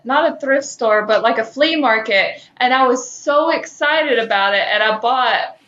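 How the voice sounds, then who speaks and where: A woman's voice: on the high side, unhurried, fairly bright tone, highs cut off. A woman co-hosting a podcast with casual banter and playful, incredulous reactions, in a roomy recording. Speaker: podcast co-host.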